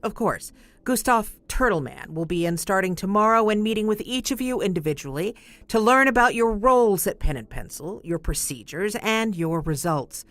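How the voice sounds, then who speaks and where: An actress playing a composed chief executive in an audio comedy, with a formal corporate manner. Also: female voice, mid-range voice, lively intonation, moderate pace, fairly bright tone, no room sound, close to an everyday voice. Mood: polished, formal.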